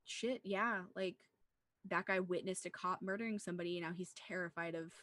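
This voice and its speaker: A woman's voice: on the low side, steady tone, fairly quick, fairly bright tone, no room sound, studio quality. A woman co-hosting a casual movie discussion, talking in an earnest, rambling way with plenty of "like". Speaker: podcast co-host.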